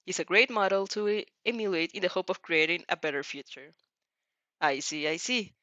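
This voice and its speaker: A woman's voice: lowish voice, lively intonation, normal speed, sharp tone, dry recording, dull audio. A woman hosting a casual fanfiction read-aloud, hesitant and giggly, often correcting herself as she goes. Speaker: host of fanfiction reading show.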